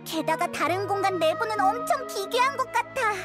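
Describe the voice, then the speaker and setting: A woman voicing a tiny, childlike female fairy companion in a game, excitable, curious and given to exclaiming and wondering aloud. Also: very high voice, moderate pace. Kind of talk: Korean game voice acting. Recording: music behind the voice.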